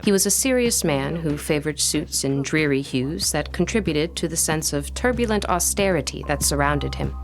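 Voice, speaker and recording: A woman playing a poised, curious historian in a gothic audio drama, narrating in a measured, literary way. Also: low-pitched, expressive, slight background noise. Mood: reflective. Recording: music playing behind the voice.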